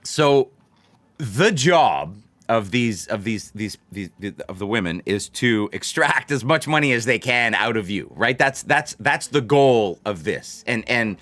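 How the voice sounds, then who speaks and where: A man's voice: medium pitch, lively intonation, a little slow, a bit bright. A man hosts a casual livestream, chatting to viewers in a rambling way and repeating phrases for emphasis. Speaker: livestream host of a blackjack channel.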